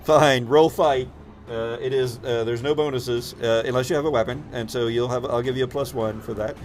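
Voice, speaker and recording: A man's voice: medium pitch, steady tone, moderate pace, a little hiss or hum. A man narrating an improvised tabletop game as game master, thinking aloud as he goes.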